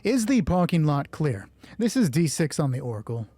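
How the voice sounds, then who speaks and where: Husky, mellow man narrating a solo game. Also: on the high side, big pitch swings, normal speed, dry recording, faint music behind. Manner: self-correcting, thinking aloud. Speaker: solo roleplay host and game master.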